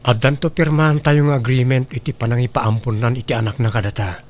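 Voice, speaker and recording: A man's voice: mid-range voice, steady tone, quick pace, a little mellow, natural voice. An actor with an Ilocano Filipino accent playing an insistent, persuasive man in a radio melodrama. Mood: earnest, persuasive. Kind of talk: radio drama acting. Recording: clear background noise and ambience.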